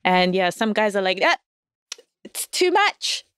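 A woman's voice: medium pitch, big pitch swings, a little slow, a bit bright, clear, resonant tone, no echo, studio quality. A woman as a podcast guest, chatting casually, laughing often and telling stories with animation. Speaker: guest, audio fiction writer. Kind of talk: casual interview chat.

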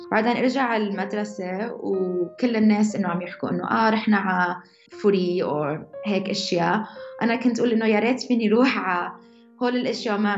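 A young woman with a mixed Levantine Arabic accent telling her own story casually, often restarting mid-phrase. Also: mid-range voice. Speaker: interviewee. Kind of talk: personal storytelling. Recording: roomy, slightly dull, music under.

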